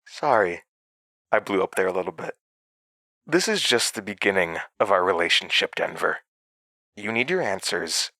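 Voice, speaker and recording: Man playing a low, cryptic, fractured mind-dwelling entity. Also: lively intonation, pushed and bright, dry recording, studio quality, full character voice. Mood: controlled, needy. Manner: halting, cryptic, swinging from muttering to outbursts. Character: fragmented mysterious male entity implanted in another's mind, volatile. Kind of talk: audio drama acting. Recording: music behind.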